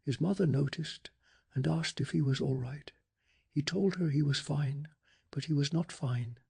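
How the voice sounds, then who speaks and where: Soft-spoken, husky man narrating bedtime stories. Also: on the high side. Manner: calm, measured, gentle delivery. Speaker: narrator of a bedtime story channel.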